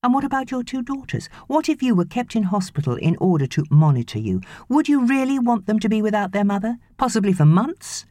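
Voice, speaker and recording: Woman narrator as exasperated loving husband, emphatic, persuasive, animated pitch, soft mellow tone, audiobook drama. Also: a little fast, hardly any pauses, no echo, studio quality, close to an everyday voice. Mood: controlled anger.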